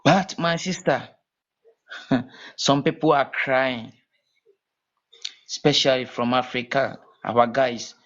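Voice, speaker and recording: A man from Ghana with a Ghanaian accent, talking as a guest in a casual call-in interview, halting and repeating his words. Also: mid-range voice, steady tone, fairly bright tone. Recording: muffled lo-fi call audio.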